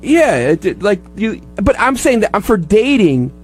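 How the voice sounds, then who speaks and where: A man chatting casually on a talk show, explaining himself in a halting, rambling way. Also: sits high, sing-song, faint music behind. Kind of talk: casual chat.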